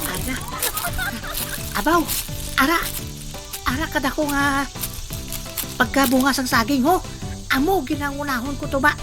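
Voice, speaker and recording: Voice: high-pitched, unhurried, pauses often, over music. A performer voicing a hungry, impish, vengeful thief in a comedic audio drama, muttering and exclaiming giddily to themself. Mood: sneaky glee. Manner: exclamatory, giggly self-talk.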